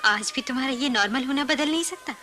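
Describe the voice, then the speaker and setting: An actress playing a young bride, an outspoken and pleading younger sister, in a Hindi radio play. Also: female voice, sits high, fast talker, pushed and bright.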